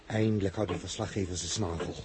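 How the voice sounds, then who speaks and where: A man's voice: sits low, fairly even intonation, fast, background noise, close to an everyday voice. An actor playing an intense, brooding male astronaut who repeats phrases emphatically in a 1960s radio drama. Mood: impatient.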